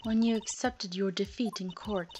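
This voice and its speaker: A woman voicing a calm, firm spirit mentor in a game fan dub, earnest and encouraging. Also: medium pitch, moderate pace, highs cut off, a little hiss or hum, lightly acted. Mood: calm, urgent.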